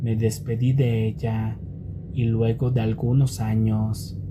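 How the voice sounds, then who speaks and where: A man reading scripted scary stories as a narrator, in a measured and deliberate storytelling manner. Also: fairly low pitch, level tone, background noise.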